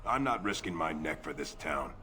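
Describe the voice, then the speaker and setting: An actor playing a grumpy, sarcastic dwarf trader in a fantasy game. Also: male voice, a bass voice, expressive, moderate pace, pushed and bright, heavily acted. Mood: sardonic. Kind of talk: video game voice acting.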